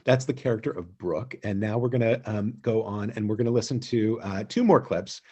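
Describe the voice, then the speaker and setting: Low, husky man hosting casually. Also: a little fast, darkish tone. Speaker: host and theatre director. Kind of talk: casual online talk introducing clips.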